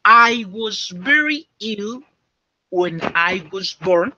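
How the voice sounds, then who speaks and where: A man teaching English, dictating slowly and checking often that students understand. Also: high voice, expressive, bright, forceful tone, a little hiss or hum. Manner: slow and repetitive, checking understanding. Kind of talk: classroom dictation lesson. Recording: dull lo-fi classroom recording.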